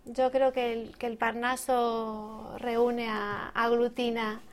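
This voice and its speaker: Higher-pitched woman chatting. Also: level tone, slow pace. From Argentina. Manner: hesitant, searching for words, repeats phrases. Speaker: bookseller and podcast co-host. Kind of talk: casual unscripted podcast chat.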